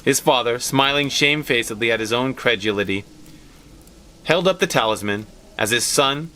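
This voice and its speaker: A man reading a story aloud as an expressive scripted narration. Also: medium pitch, normal speed. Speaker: story reader.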